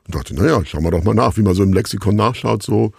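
Deep, gravelly middle-aged man, musing. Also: a little mellow, dry recording, studio quality. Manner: musing, halting, self-deprecating. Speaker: interview guest, radio drama maker. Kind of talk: reflective conversational storytelling in an interview.

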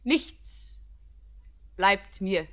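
An actress voicing Anna, a young bride torn between tenderness and despair, moving between hushed whispers and passionate outbursts. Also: female voice, medium pitch, animated, drawn-out words, full character voice. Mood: anguished. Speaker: lead actress. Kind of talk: classic radio play acting.

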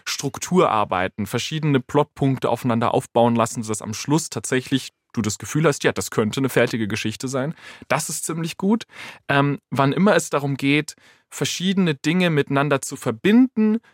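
A man of medium pitch talks casually as an expert guest. He explains step by step with spoken examples, in a dry studio with very little room sound. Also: normal speed, dry recording. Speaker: AI expert guest, podcast host.

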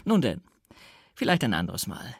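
A man voicing a breezy young gentleman in an audiobook, hearty and chattering, half annoyed and half joking. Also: fairly low pitch, huge pitch swings, normal speed, creaky, husky, no room sound, clean studio sound, heavily acted. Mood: brisk teasing. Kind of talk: audiobook character voice.

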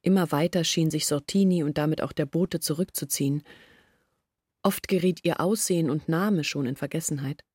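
An actress playing an earnest woman who confesses her family's failures in a reflective, measured monologue from a literary radio play. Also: low-pitched, a little mellow, slightly rough, no echo, studio quality.

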